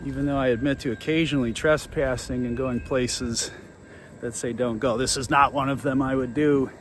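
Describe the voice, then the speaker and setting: A man's voice: medium pitch, fairly even intonation, moderate pace, slight background noise. An American man hosting a casual on-location nature vlog, narrating and explaining local history. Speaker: host of local nature exploration videos. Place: outdoors in a nature preserve.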